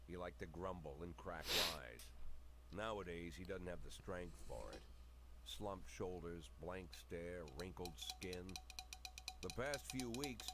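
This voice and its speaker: An actor playing a weary, world-worn police chief, narrating a crime game in brooding noir style. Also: male voice, low voice, heavy background noise.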